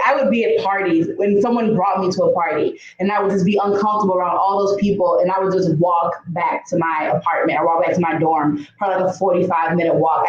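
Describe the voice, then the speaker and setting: An American woman in her thirties, a comedian casually telling personal stories in conversation. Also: mid-range voice. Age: 30s. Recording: echoey room, dull lo-fi audio.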